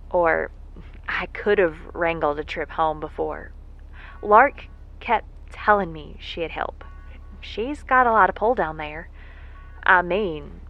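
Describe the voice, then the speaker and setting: A woman's voice: lowish voice, highs cut off. A woman playing a folksy, lovesick moonbase worker who rambles with wry self-deprecation in an audio drama monologue. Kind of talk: audio drama monologue, a spoken letter home. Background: faint music.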